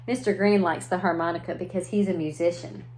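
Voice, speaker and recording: A woman's voice: lowish voice, fairly even intonation, a little fast, darkish tone, a little hiss or hum. A woman preschool teacher reading a story aloud to young children, adding simple explanations and questions. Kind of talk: children's read-aloud with asides.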